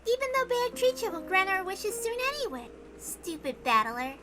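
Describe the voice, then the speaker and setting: A woman's voice: extremely high pitch, big pitch swings, moderate pace, heavy background noise, voice pushed up. A woman voicing an eerie childish girl who speaks in a sing-song, matter-of-fact way about morbid things, in a dramatic reading. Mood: bored, sulky. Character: eerie childish girl gleefully obsessed with a witch's resurrection.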